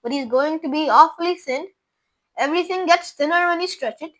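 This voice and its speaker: A woman voicing an eccentric, confident male candy maker in a storybook reading, brisk and offhand. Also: sits high, a bit bright, pitched above the performer's own voice, rough recording. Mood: breezy.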